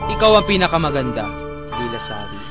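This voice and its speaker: A man's voice: mid-range voice, sing-song, a little fast, close to an everyday voice. A man playing a patient, doting sultan in a courtly, formal melodrama style. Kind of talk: melodramatic radio-drama acting. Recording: music behind.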